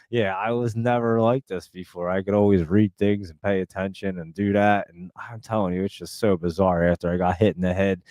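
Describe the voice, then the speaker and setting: Chatty Jersey-accented man with a low voice. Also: a little mellow, clear, resonant tone, dry recording, studio quality. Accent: New Jersey. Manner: rambling, chatty, lots of fillers and restarts. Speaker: livestream host. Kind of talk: casual chat reading viewer comments.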